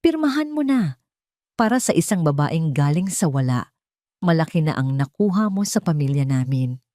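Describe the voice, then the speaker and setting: A woman's voice: low voice, big pitch swings, normal speed, mellow, no echo. An older society matriarch in an audio melodrama acted by a woman narrator. She is haughty, hard and icily disdainful, with a dry sound. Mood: icy disdain. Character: haughty, hard, cold older rich mother-in-law.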